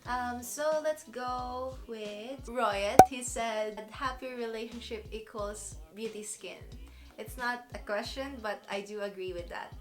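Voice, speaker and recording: Filipino girl in her 20s chatting about her skin, laughs a lot, kinda high voice. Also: slow pace, over loud music. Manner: chatty, giggly, rambling. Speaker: beauty vlogger. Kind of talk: casual chat to camera.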